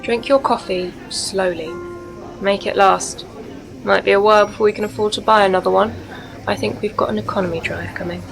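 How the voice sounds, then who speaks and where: A woman's voice: medium pitch, fairly even intonation, fairly quick, gravelly, music in the background, close to an everyday voice. A woman in a radio play playing a wry, hard-up poet, guarded and given to dry quips. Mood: resigned, wry. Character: wry, sceptical, hard-up female performance poet.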